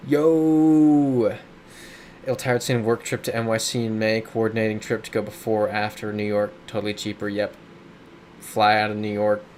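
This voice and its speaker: A man's voice: on the low side, flat delivery, normal speed, darkish tone, a little hiss or hum. A man live-streaming a game, chatting casually as he reads viewer comments aloud and riffs on them. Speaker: streamer reading chat. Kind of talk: casual live-stream chat while playing a geography game. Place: his home in a big city.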